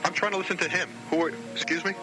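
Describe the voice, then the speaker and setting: A man in a halting, questioning phone conversation, heard on an archival home tape from the 1990s. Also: sits high, steady tone, over music, poor audio.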